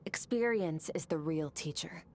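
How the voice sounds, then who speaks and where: An actress playing a stern, cool swordswoman mentor in a video game, speaking in a measured, detached, commanding way. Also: sits low, a little mellow, a little hiss or hum. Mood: calm, instructive. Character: stern, cool female master swordswoman training a pupil.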